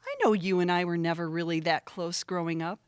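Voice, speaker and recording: A woman's voice: on the low side, fairly even intonation, fairly quick, smooth, close to an everyday voice. A woman acting in an audio play as a prim, resentful older sister with a cutting, disapproving manner. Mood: wounded, bitter.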